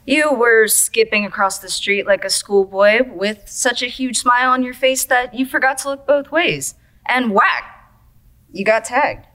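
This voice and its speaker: A woman's voice: a little high, measured pace, pushed and bright. A woman playing a laid-back but needy coma patient who greets newcomers, flippant and slangy, in an audio drama. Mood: playful. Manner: flippant, slangy, easily offended.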